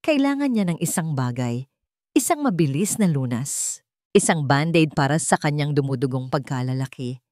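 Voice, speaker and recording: A woman's voice: sits low, lively intonation, moderate pace, a little mellow, dry recording, studio quality. A Filipino woman giving a dramatic scripted narration of a romance story as its narrator.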